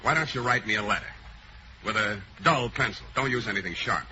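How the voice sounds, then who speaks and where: A man playing a tired, hard-boiled private detective in a 1940s radio comedy, trading dry, deadpan wisecracks. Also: lowish voice, fairly quick, dull audio, lightly acted. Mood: weary, deadpan. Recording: hissy 1940s radio broadcast.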